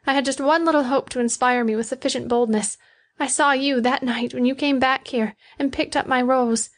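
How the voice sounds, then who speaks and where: A woman plays a proud young woman from a storybook romance. Her voice is high, emphatic and earnest, with a stubborn, defiant streak under the love. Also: steady tone, normal speed, lightly acted. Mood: reproachful, heartfelt. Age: young adult. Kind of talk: audiobook character dialogue.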